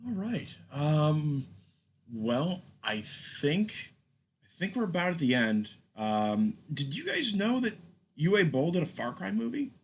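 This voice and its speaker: A man's voice: a little high, sing-song, slow, slight background noise. A man hosting a podcast in a loose, casual chatting style. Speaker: podcast host. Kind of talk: casual chat.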